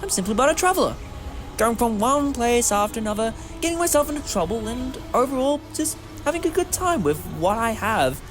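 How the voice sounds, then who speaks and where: A man's voice: very high-pitched, big pitch swings, fairly quick, music in the background, rough recording. A man playing an eccentric time-travelling hero in an audio drama, wry, modest and moralising, with a theatrical manner. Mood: candid, persuasive.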